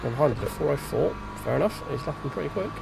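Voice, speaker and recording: A man's voice: a little high, lively intonation, fast talker, gravelly, low-quality recording. A man streaming a racing game, chatting casually to himself and his chat while driving, sounding congested and blocked up in the nose. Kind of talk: live gameplay commentary. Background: game music and car engine sounds.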